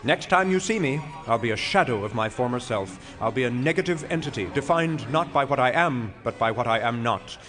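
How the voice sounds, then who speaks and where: An actor playing a pompous, self-dramatizing retired philosophy professor in a stage comedy, speaking in wordy, self-mocking speeches. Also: male voice, medium pitch, animated, a little fast, hardly any pauses, a bit bright, soft background music, close to an everyday voice. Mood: defiant, grandiose. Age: older. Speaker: actor in an audio play.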